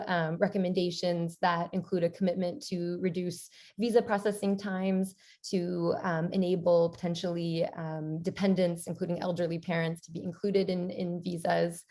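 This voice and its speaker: A woman's voice: fairly low pitch, darkish tone. A woman presenting on a panel as a programme director, hesitant, with frequent ums in the middle of her sentences.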